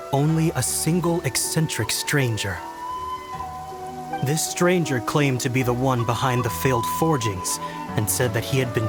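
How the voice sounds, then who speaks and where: A solemn samurai, acted by a man, recounts a dark secret in a mid-pitched voice. His delivery is deliberate, formal and dramatic, with game music clearly behind him. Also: close to an everyday voice. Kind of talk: dramatic narrated letter, video game.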